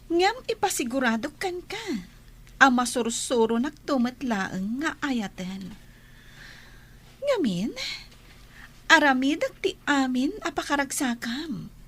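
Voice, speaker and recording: A woman's voice: high voice, big pitch swings, takes it slowly, pauses often, fairly bright tone, breathy edge, heavily acted. A woman with an Ilocano Filipino accent acting a lovestruck, pleading young bride-to-be in a radio drama. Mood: coaxing, devoted.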